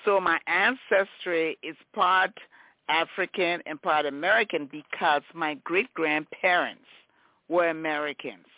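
A woman from Liberia with a Liberian accent, telling her story graciously and in a measured way as an interview guest. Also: fairly low pitch, no room sound. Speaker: podcast guest, nonprofit director. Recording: narrow phone-like band.

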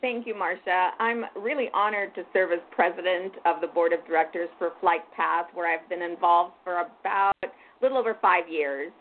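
An older woman from Hungary, president of a museum board, introducing herself as a guest on a radio talk show in a composed, measured way. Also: on the low side. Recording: tinny phone-like line with faint noise.

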